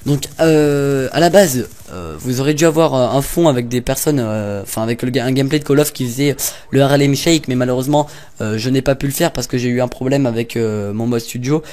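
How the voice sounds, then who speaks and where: A man's voice: mid-range voice, fairly quick, darkish tone. A young man casually commenting over gameplay, rambling and hesitant with lots of fillers and little jokes. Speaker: gaming YouTuber.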